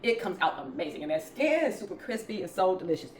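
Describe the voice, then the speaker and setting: A woman's voice: fairly low pitch, big pitch swings, fast. A chatty woman with a Southern US accent, talking casually as she hosts a home cooking demonstration. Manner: chatty, informal. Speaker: home cooking vlog host. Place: indoors in a home kitchen. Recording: roomy kitchen echo, clattering utensil noise.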